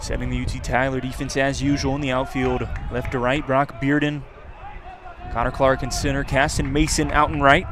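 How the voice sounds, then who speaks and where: A man's voice: mid-range voice, little pitch movement, normal speed. Male baseball play-by-play announcer, noisy ballpark. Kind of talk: live baseball radio commentary. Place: outdoors at a ballpark. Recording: clear outdoor stadium noise behind. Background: outdoor ballpark ambience.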